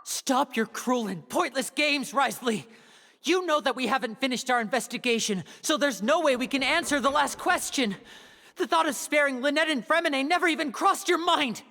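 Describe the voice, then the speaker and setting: A woman voicing a defiant, fiercely protective young man with a breathy, husky edge in a video game. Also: high-pitched, moderate pace, bright, forceful tone, big-room sound. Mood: furious.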